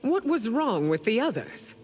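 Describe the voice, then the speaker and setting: A woman's voice: fairly high pitch, big pitch swings, unhurried, a little mellow, faint music behind. A woman playing a poised, inquisitive young warrior princess in a video game. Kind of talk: scripted game dialogue.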